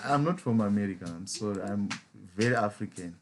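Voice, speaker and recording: A man's voice: fairly low pitch, expressive, fairly quick, slight background noise. A man from Zimbabwe with a Zimbabwean English accent, a trading mentor who explains chart patterns in a live lesson and keeps asking rhetorical "as a what?" questions.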